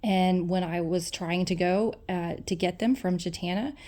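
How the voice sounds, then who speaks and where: A woman's voice: fairly low pitch, fairly even intonation, normal speed, darkish tone. An adult woman making her case in a personal phone argument. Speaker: private caller in a family dispute.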